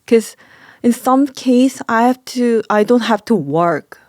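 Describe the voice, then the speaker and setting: A woman's voice: fairly high pitch, animated, slow, darkish tone, no echo, studio quality. A woman who owns a small clinic, chatting in an interview in simple, broken English, filling with "like" and laughing often. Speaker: clinic owner. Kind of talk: casual interview chat.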